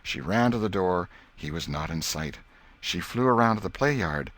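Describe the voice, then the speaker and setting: A man reading a literary story as an audiobook narrator, in a measured storyteller manner. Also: deep voice, animated, a bit bright.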